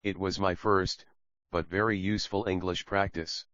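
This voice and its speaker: A Russian-accented man in his fifties reads his own memoir aloud, with a very low voice and a plain, unhurried delivery. English is not his first language. The recording is dry and a little dull, with no room sound. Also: little pitch movement, fairly bright tone, no echo. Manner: plain, unhurried reading with non-native phrasing. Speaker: author reading his memoir. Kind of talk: audiobook memoir narration.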